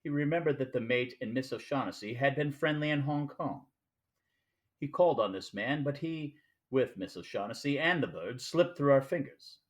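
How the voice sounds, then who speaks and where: An actor playing a courtly, verbose, calculating crime boss in a radio play, with a pompous, formal and elaborately polite manner. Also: male voice, mid-range voice, animated, moderate pace, close to an everyday voice. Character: courtly, verbose, calculating male criminal mastermind. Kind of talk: 1940s-style radio play acting.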